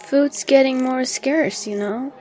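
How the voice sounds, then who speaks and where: A woman's voice: high-pitched, slow, dark tone, poor audio. A woman playing a wry, kindly mutant who runs a shelter, talking in a casual, teasing way in a comic dub.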